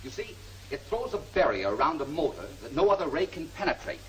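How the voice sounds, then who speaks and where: An actor playing a confident inventor in an old film serial, explaining things in an articulate, lecturing manner. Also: male voice, on the high side, very animated, a little fast, a bit bright, slight background noise. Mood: assured. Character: confident inventor explaining his device to a client. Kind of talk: 1940s film serial acting. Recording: vintage film soundtrack, distant mic, music behind.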